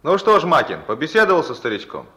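An actor playing a chatty, excitable gatekeeper at a motor depot in a Russian radio drama, calling out in colloquial speech. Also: male voice, on the high side, fast, exaggerated voice. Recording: echoey, faintly noisy old radio recording.

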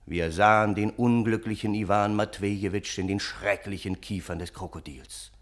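An actor playing an earnest gentleman friend who recounts an absurd calamity in a formal, theatrical radio-play style with dramatic pauses. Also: on the low side, fairly even intonation, natural voice. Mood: dramatic, alarmed. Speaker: narrator and character in a radio play.

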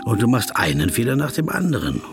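A man's voice: medium pitch, huge pitch swings, a little fast, over music. A man acting a pedantic, brooding, awkward corporate lawyer in a German radio drama, fussy and dryly ironic in his digressions. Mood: brooding, self-critical. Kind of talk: German radio drama, dialogue and inner monologue.

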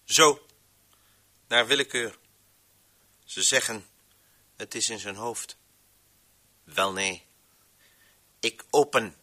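An actor playing a defiant, embittered man who controls the voices in a radio play, speaking in an emphatic, measured monologue. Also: fairly low pitch, sharp tone, heavily acted. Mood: commanding. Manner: emphatic, measured, swinging between proclamation and muttering.

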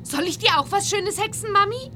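Very high, cheeky little witch girl. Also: lively intonation, brisk, sharp tone, soft background music, full character voice. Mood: cheerful. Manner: childlike, pert, eager. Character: Bibi, cheeky excitable young witch girl. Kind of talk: German children's audio drama acting.

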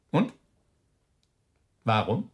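A smug SS officer in a radio play. His pitch swoops widely as he taunts and mocks. He speaks theatrically, dragging out words and then pouncing. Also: heavily acted. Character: sneering, self-satisfied Nazi SS officer taunting a prisoner. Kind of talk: German radio drama acting.

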